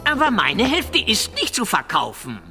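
A man's voice: sits high, wildly swooping pitch, unhurried, pushed and bright, soft background music, heavily acted. A man playing a sly, grumpy, greedy evil sorcerer nephew in a children's fantasy audio drama, theatrical and sneering. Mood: suspicious, sly. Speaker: voice actor. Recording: long hall-like reverb.

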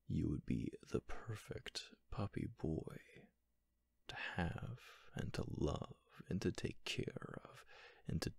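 A man playing a flirty, affectionate roommate in a soft-spoken, intimate ASMR roleplay, gently teasing and pausing often, close to the microphone. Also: deep voice, vocal fry, no room sound, heavily acted. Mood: tender, teasing. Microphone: close microphone, dry sound.

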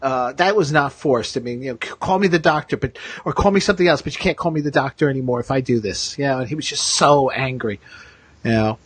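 A man co-hosting a casual pop-culture discussion with an enthusiastic, halting fan's delivery. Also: medium pitch, a bit bright, breathy edge, no room sound. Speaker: podcast co-host.

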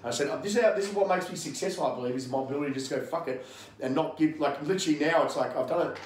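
A man's voice: on the high side, rapid-fire, slight background noise. Fired-up Australian man swearing freely. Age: 30s. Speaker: guest, strength and conditioning coach. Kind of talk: podcast interview. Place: gym, indoors. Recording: echoey gym room.

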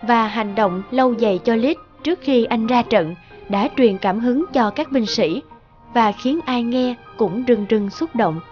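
Female story narrator, fairly high pitch, scripted delivery, light music underneath. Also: measured pace, muffled recording.

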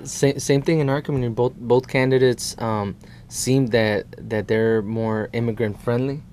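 A man in his thirties from El Salvador, discussing politics as an activist guest in halting, repetitive phrases. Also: medium pitch, fairly even intonation, darkish tone, a little hiss or hum. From born in El Salvador. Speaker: guest, immigrant rights activist. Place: small indoor TV studio.

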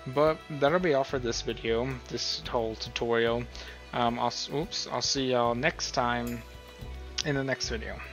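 A man's voice: mid-range voice, steady tone, a little slow, a bit bright, faint music behind. A man talking informally and in a rambling way, as the host of a casual tutorial. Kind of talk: casual screen-recorded tutorial talk. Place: voice-over on a screen recording.

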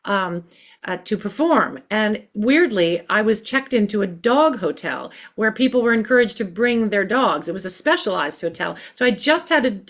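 A woman's voice: fairly high pitch, a bit bright. A middle-aged woman hosting a video-call interview from home, asking chatty questions with hesitations. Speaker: host. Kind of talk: casual interview. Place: home room.

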